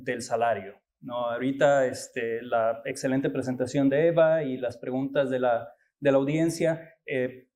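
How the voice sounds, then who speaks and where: A man giving an academic conference talk, halting and full of fillers and restarts. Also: mid-range voice, darkish tone. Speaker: guest lecturer.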